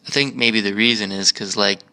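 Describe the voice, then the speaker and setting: A man's voice: lowish voice, steady tone, fairly quick, sharp tone. An American man chatting casually as a travel vlogger in a museum park, rambling with many fillers and restarts.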